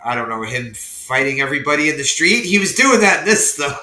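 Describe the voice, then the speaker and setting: Casual male host, a touch raspy, bright and slightly high, loose banter, echoey room. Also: big pitch swings, fairly quick. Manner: laughing, loose and profane. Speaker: podcast host. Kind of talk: casual group discussion. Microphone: video call.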